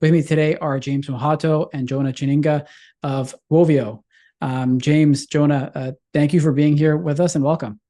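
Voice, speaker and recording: A man hosts the interview in a slightly raised, warm and mellow voice. He asks friendly questions and slips in light jokes. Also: fairly high pitch, steady tone, normal speed. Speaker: interviewer, executive director. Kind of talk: interview hosting.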